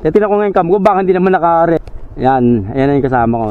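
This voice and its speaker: A man's voice: fairly high pitch, lively intonation, fast talker, narrow, tinny audio. A Filipino man talking casually and informally to viewers as a vlogger giving a hands-on product demo. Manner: informal, chatty, addressing viewers.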